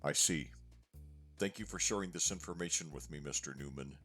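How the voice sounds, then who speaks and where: A man voicing a gentle, grateful father in a visual novel, reassuring and measured. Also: very deep voice, faint music behind. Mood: earnest, grateful. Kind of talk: amateur visual novel voice acting.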